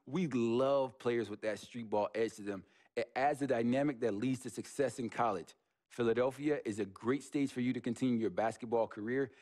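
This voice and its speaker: A man voices a college recruiter reading out a recruitment letter. His tone is formal and measured in a medium voice. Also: medium pitch, darkish tone, natural voice.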